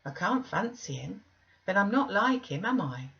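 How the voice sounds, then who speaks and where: Casting: woman to play a frail, fretful little boy for a classic storybook, full of questions, pitched up, slightly muffled sound. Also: sing-song, moderate pace, gravelly, a bit bright. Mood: curious, wondering. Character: frail, anxious, questioning young boy, reluctant and plaintive. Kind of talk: audiobook character reading.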